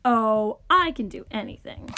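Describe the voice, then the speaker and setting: Lady doing a smug show-off composer guy telling his story, high voice. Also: animated, measured pace, sharp tone, slight background noise. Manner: pompous, self-satisfied. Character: boastful, vain, self-assured male composer. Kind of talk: storybook character narrating.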